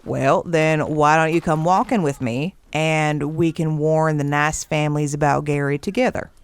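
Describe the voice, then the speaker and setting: Dry-miked, folksy old camp warden. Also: female voice, low voice, steady tone, moderate pace, clear, resonant tone, no room sound. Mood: wry, teasing. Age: older. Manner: folksy, wry, teasing. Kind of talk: audio drama dialogue.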